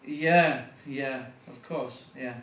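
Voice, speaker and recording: A man with a Welsh accent performing a stand-up comedy set, getting animated at punchlines. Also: very slow, distant mic. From Wales. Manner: animated, voice jumps up in pitch at punchlines. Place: comedy club stage. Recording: echoey room, voice from afar.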